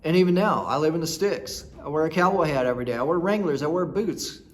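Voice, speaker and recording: Man, thirties, from rural Nebraska, folksy, blunt, rambling storyteller, slightly high voice. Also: fast talker. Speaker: storyteller host. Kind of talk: casual personal storytelling.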